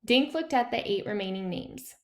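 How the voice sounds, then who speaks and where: A woman reading a children's chapter book aloud in an expressive storytelling style. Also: fairly high pitch, normal speed. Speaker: read-aloud narrator.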